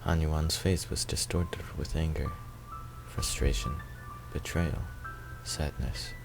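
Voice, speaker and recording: A man's voice: extremely low pitch, fairly even intonation, takes it slowly, vocal fry, loud music behind the voice. A man reading first-person visual novel narration aloud.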